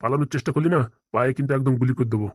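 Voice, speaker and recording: A woman's voice: a bass voice, racing, darkish tone, no echo, clean studio sound. A woman voicing a bullying, threatening male police officer in a comedy cartoon, commanding and sarcastic. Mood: menacing. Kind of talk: Bengali comedy cartoon dialogue.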